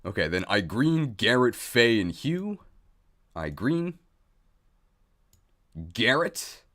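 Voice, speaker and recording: A man's voice: mid-range voice, big pitch swings, takes it slowly, a bit bright. A man hosting a livestream, chatting casually and thinking aloud in a jokey, self-deprecating way. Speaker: streamer, video host. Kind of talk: casual livestream chat while setting up.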